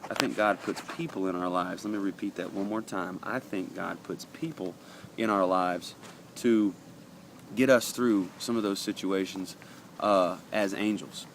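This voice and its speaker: A man's voice: low voice, steady tone, measured pace, a little hiss or hum. A man giving an earnest, conversational daily devotional, reading passages aloud and adding his own thoughts, sniffly from allergies. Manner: earnest, conversational, with frequent uh fillers and restarts.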